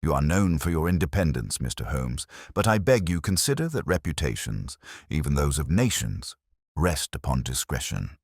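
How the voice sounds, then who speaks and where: A man voicing a grey-haired, cautious senior government official in an audiobook, measured, careful and formal. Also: very deep voice, very animated, dry recording, studio quality, exaggerated voice. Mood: pleading.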